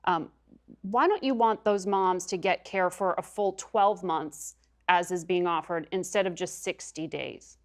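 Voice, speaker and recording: Woman reporter asking interview questions, voice on the low side. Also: a little slow. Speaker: interviewer, journalist.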